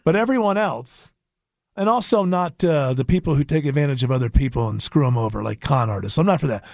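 A man's voice: medium pitch, animated, fairly quick, gravelly, a little mellow, no room sound, studio quality. A man hosting a radio talk show, joking and giving strong opinions in casual chat.

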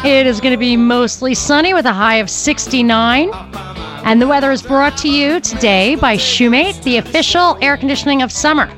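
A woman's voice: high voice, normal speed, fairly bright tone, soft background music. A woman hosting a talk radio show, giving her views in a conversational, opinionated way with fillers as she thinks aloud. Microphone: studio microphone.

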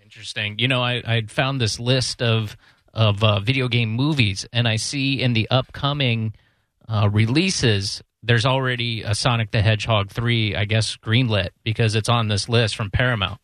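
A man's voice: mid-range voice, steady tone, normal speed, fairly bright tone, no echo. A man hosting a radio show in casual chat, rambling and hesitant in a self-deprecating way.

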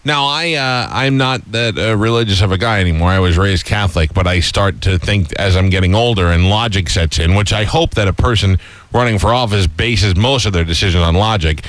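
A fast-talking American man with a slightly low voice, interviewing in a casual, joking way. He is blunt and skeptical, and the sound is dry and close. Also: a bit bright, no room sound. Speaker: radio show interviewer.

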